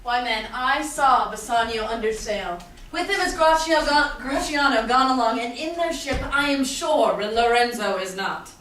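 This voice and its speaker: Woman as eager gentleman in an echoey room. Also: on the high side, animated, moderate pace. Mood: eager, gossipy. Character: Venetian gentleman eagerly relating news to a friend. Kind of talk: Shakespearean staged reading. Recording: very echoey room, distant mic, faint noise.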